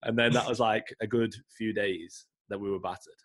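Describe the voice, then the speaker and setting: Man, croaky, ill, lowish voice, casual podcast chat, rambling. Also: fairly even intonation, normal speed. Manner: chatty, swears casually, many fillers. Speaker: podcast co-host.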